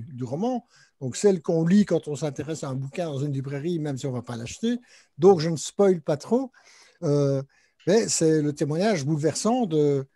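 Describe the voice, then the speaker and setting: A man's voice: on the high side, dark tone, dry recording. A man moderating a literary panel, introducing the guests and their books with many hesitations and fillers. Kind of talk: panel discussion hosting.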